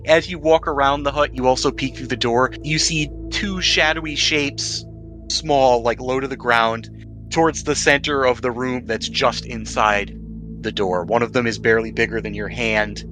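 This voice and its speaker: A man narrates a tabletop roleplaying game as its game master. His voice is bright and mid-pitched, and he sets scenes in a conversational, descriptive way over faint music. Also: steady tone, moderate pace.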